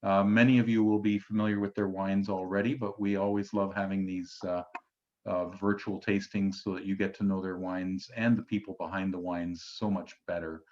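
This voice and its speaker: Lower-voiced man hosting, dull audio. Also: flat delivery, moderate pace, a little mellow. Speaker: host. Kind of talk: informal webinar hosting. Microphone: video call. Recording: dull lo-fi video-call sound.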